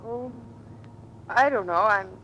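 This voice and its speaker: Weary, bright-voiced officer's wife. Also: female voice, slow. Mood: weary, wistful. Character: weary, worried, gentle navy officer's wife. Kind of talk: 1940s radio acting. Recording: dull old radio broadcast, faint rumble.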